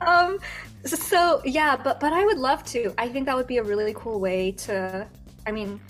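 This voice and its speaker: A woman's voice: high voice, moderate pace, a bit bright, soft background music. Chatty giggly young American woman. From United States. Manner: chatty, giggly, many fillers and restarts. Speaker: guest singer. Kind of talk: casual interview chat.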